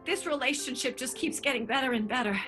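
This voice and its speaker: A woman voicing a panicky, excitable scheming showman in an improvised radio play. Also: sits high, quick pace, faint music behind. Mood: giddy.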